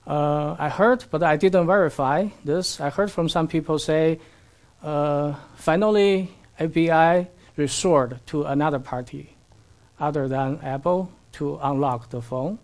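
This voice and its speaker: A man whose first language is Chinese, giving an academic lecture in halting English with many fillers and asking the audience questions. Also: a little high. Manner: halting non-native English with frequent fillers.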